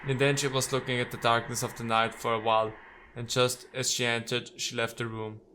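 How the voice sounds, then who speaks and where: A man's voice: medium pitch, flat delivery, fairly quick, bright, forceful tone, slight background noise, low-quality recording. A man playing a visual novel, reading its text aloud and adding wry, casual commentary. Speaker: let's player.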